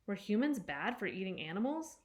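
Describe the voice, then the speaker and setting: A woman's voice: medium pitch, sing-song, fairly quick, natural voice. Roz, a woman reading as a polite robot. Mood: wondering. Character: gentle, helpful female robot.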